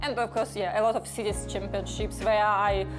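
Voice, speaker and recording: A woman's voice: mid-range voice, level tone, moderate pace. An eighteen-year-old woman from Ukraine with a Ukrainian accent, speaking hesitant English with many fillers as she tells her story in an interview. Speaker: football referee. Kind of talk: interview testimony. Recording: music underneath.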